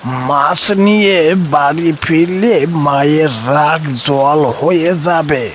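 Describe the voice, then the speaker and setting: Teasing old village grandpa, acted by a man, horror cartoon story. Very slow, coaxing, slangy; faint noise behind. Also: a little high.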